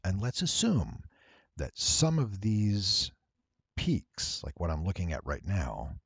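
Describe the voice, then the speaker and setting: A man giving a casual, rambling software walkthrough, thinking aloud and playfully addressing his viewers. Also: low voice, wildly swooping pitch, slow, creaky, breathy edge, no echo. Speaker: tutorial presenter and voice actor.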